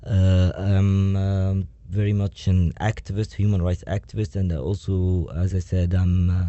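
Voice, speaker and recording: Deep, dark-voiced man from Egypt. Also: little pitch movement, a little slow, no room sound, muffled recording. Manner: hesitant, many fillers and restarts. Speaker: guest. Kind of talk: radio interview.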